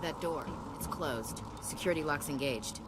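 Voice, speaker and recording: A woman's voice: sits low, normal speed, noisy background, natural voice. A woman playing a tough young marine in a video game, speaking in a plain, grave military manner. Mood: grim, subdued.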